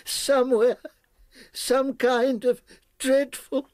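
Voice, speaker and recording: An actor playing a frail, confused old collector who rambles eagerly, in a radio drama. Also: male voice, very high voice, flat delivery, slow, darkish tone, no room sound. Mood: pleading. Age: older. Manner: rambling, eager, breaking into laughter. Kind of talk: 1990s radio drama acting.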